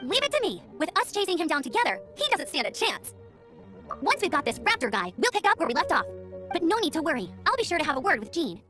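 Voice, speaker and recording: A woman's voice: very high-pitched, big pitch swings, fast talker. A woman voicing a cheerful, eager young female scout with a youthful, girlish voice in an anime-style video game. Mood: determined, upbeat. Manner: spirited, earnest. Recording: music behind the voice.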